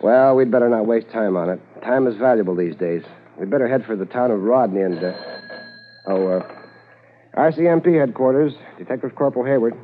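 An actor playing a dutiful, practical detective corporal in a 1950s radio drama, thoughtful and matter-of-fact. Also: male voice, mid-range voice, fairly quick, close to an everyday voice. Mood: businesslike. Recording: noisy, narrow old radio recording.

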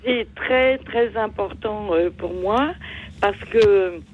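A muffled call on the radio from an older woman with a mid-pitched voice. She reminisces casually and haltingly, wandering from one detail to the next. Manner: digressive, halting. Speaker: radio phone-in caller.

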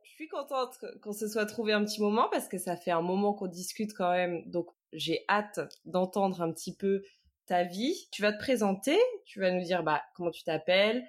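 A woman's voice: mid-range voice, normal speed. A woman hosting a podcast, leading the interview with friendly, guiding questions. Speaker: podcast host.

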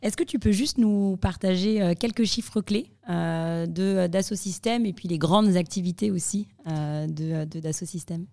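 Female podcast host, lowish dark voice, informal conversational questioning. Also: moderate pace, hardly any pauses. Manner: informal, addresses guest familiarly.

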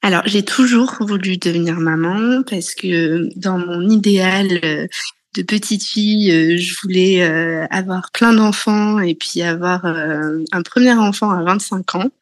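A woman in her thirties telling her own story slowly, with many fillers and hesitations. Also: lowish voice, no room sound. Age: 30s. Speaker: interview guest. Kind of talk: personal testimony.

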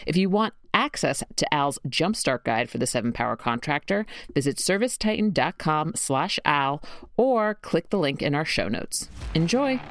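A woman's voice: deep voice, big pitch swings, moderate pace. A young woman from Queens hosting an interview podcast, friendly and bubbly. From Queens, New York. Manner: bubbly, friendly, laughs easily. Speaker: podcast host.

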